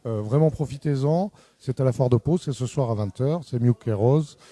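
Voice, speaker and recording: A man's voice: medium pitch, moderate pace, warm tone, no echo. A man hosting a casual café interview for a newspaper, chatty and complimentary, often restarting his sentences.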